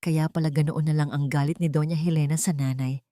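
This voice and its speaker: Woman voicing hurt, intense Filipino husband, hushed. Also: deep voice, fairly even intonation, a little fast, no echo, studio quality, close to an everyday voice. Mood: hurt, tense. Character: adult son, hurt husband piecing together betrayal.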